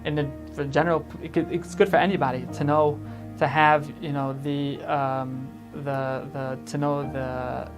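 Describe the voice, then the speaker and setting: A young man from Chicago, a teacher trainee giving a halting testimonial full of false starts and repeated words. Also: on the high side, little pitch movement. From Chicago, USA. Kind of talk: testimonial interview. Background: music.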